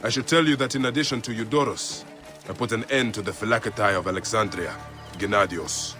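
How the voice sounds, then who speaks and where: An actor playing a blunt, stoic Egyptian warrior in a video game, speaking in a terse, restrained, intense way. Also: male voice, on the low side, brisk, over music, lightly acted. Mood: guarded, resolute. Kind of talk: video game cutscene acting.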